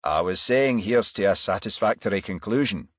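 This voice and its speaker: A man's voice: on the low side, normal speed, no room sound, studio quality, lightly acted. A man voicing a jovial, wry male detective sergeant chatting matily in an audiobook. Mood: wry, cheerful. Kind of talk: audiobook character voice.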